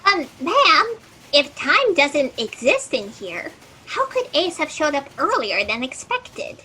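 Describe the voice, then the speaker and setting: A woman voicing a flustered, literal-minded fact checker creature with fussy, halting delivery in a sci-fi satire audio drama. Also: high-pitched, sing-song, exaggerated voice. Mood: fussy, eager. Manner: halting note-to-self speech, fussy, pedantic. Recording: noisy home video-call audio.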